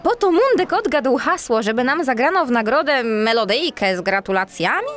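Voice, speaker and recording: A woman's voice: high-pitched, sing-song, fairly bright tone, soft background music. A woman playing an eager, clever young female cat detective in a children's audio drama, earnest in manner. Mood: confident, eager. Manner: earnest, eager, quick to urge others on.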